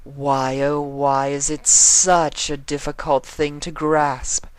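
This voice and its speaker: A man's voice: on the high side, little pitch movement, slow pace, slight background noise, pitched above the performer's own voice. A man voicing a regal, villainous female winged unicorn with a condescending, theatrically mocking manner. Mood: mocking, lecturing. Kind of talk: fan fiction character voice.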